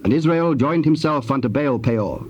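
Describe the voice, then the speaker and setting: A man narrating scripture for a dramatized audio Bible in a measured, formal way. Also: mid-range voice, lively intonation, darkish tone, highs cut off, lightly acted. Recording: faintly hissy old cassette tape.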